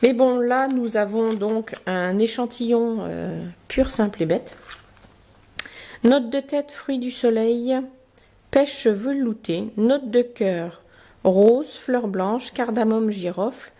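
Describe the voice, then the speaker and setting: A woman with a cold and a blocked nose, chatting through a beauty box review with many pauses and fillers and reading product cards aloud. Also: on the high side, dark tone. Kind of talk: casual unboxing review.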